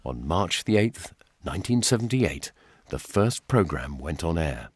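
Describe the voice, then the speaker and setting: A man's voice: deep voice, sing-song, a little slow. A man narrating a scripted radio documentary.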